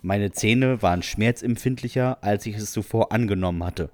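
A man's voice: on the low side, moderate pace, darkish tone, no room sound. A man co-hosting a podcast, reading out listener stories in an amused, informal way. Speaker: podcast co-host.

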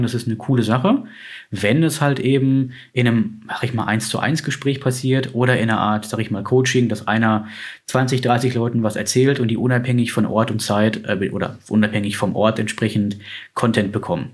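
A chatty, easygoing man talking about money and saving in relaxed, everyday speech. He sounds enthusiastic and keeps the conversation flowing with lots of fillers. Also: medium pitch, brisk. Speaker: finance advisor, interviewee. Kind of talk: casual conversation.

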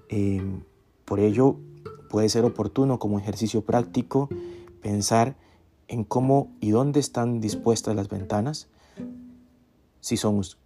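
A man giving a reflective academic lecture in a measured, deliberate way, breaking his phrases with pauses. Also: on the low side, gravelly, dark tone. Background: guitar music.

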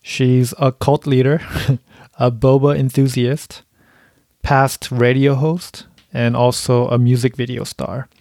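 A man's voice: mid-range voice, flat delivery, takes it slowly, darkish tone, no echo, studio quality. A man hosting a podcast, interviewing a guest in an easygoing way and laughing along. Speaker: podcast host.

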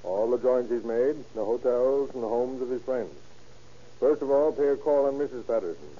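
Man playing very dark-voiced commanding sheriff. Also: medium pitch, normal speed, natural voice. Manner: authoritative, clipped orders. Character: brisk, commanding county sheriff giving orders to deputies. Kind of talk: 1930s radio crime drama acting. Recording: muffled old radio recording.

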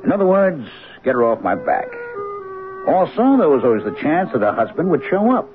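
An actor playing a blunt, authoritative police captain in an old-time radio drama, questioning and commanding. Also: male voice, fairly high pitch, very animated, brisk, music in the background. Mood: wry, confiding. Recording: muffled, noisy old radio broadcast recording.

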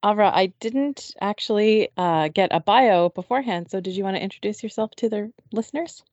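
A woman's voice: mid-range voice, lively intonation, brisk, darkish tone, no room sound, dull audio. A woman from Atlantic Canada hosting a podcast, chatting in a friendly casual way with many ums. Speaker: podcast host. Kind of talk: casual interview chat.

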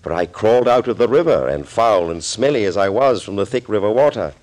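An actor playing a sinister middle-aged doctor, florid and mock-polite, in a 1940s radio thriller. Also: male voice, lowish voice, expressive, brisk, a little mellow, natural voice, low-quality recording. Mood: brooding, bitter. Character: sinister middle-aged male doctor, suave, gloating schemer.

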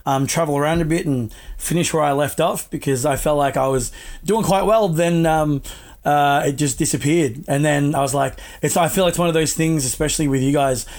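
A man's voice: a little high, a little fast, darkish tone. A man chatting casually as a guest, laid-back and rambling, with frequent fillers and restarts. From Australia. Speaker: guest, wrestler. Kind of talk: casual video-call chat.

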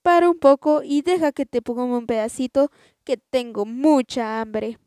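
A woman voicing a hungry rooster in a storybook, low, slow and half-whispered. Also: dark tone, dry recording, studio quality, lightly acted. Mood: sly. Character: handsome hungry rooster, sly.

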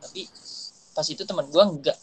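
A man's voice: on the high side, fairly quick, husky. A young man with a Jakarta Indonesian accent, chatting casually and slangily as a podcast co-host. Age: young adult.

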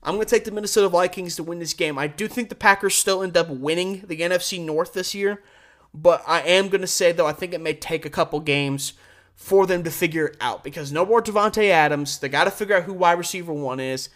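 A man's voice: high voice, a little fast, fairly bright tone. A man hosting a live sports show, giving opinionated, conversational commentary on game picks and punching key words.

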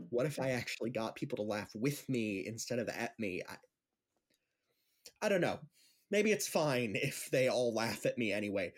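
A man's voice: mid-range voice, lightly acted. Man acting anxious, earnest gym teacher. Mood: hurt, earnest. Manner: hesitant, earnest, self-deprecating. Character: anxious, kindly, insecure gym teacher doing amateur stand-up. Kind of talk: scripted audio drama acting.

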